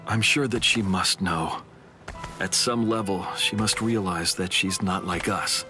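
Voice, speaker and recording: An actor voicing a grave, composed warrior in an anime game, serious and restrained. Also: male voice, low voice, measured pace. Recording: loud music behind.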